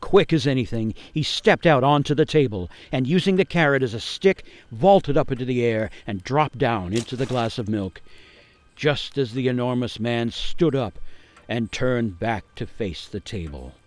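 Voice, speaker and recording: A man playing a grave gingerbread man, narrating a radio play as a haunted survivor with theatrical suspense and weighty pauses. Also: medium pitch, animated, natural voice. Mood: urgent, alarmed. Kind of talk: dramatic first-person storytelling for a radio play.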